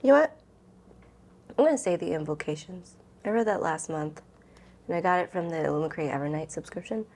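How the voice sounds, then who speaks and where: A woman's voice: low-pitched, fast talker, darkish tone. A woman book vlogger talking casually and informally, rambling and thinking aloud as she answers questions. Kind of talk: casual chatty vlog, answering tag questions.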